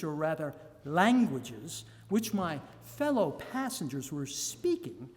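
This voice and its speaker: A man reads a gothic journal aloud in a theatrical, measured way with dramatic pauses. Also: high-pitched, darkish tone. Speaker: reader. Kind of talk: dramatic live reading of a gothic novel journal. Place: live public reading in a reverberant room. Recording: echoey hall with long reverb.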